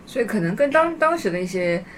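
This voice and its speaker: A woman's voice: medium pitch, sing-song, fast talker. A woman in casual podcast chat, speaking in short, inquisitive interjections. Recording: off-mic, faint background noise.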